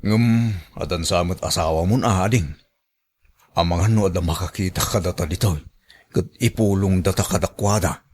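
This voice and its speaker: A man's voice: sits low, moderate pace, a little mellow, slightly rough. An actor with an Ilocano accent playing a familiar, flirtatious and sympathetic village man in a radio drama. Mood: curious, chatty.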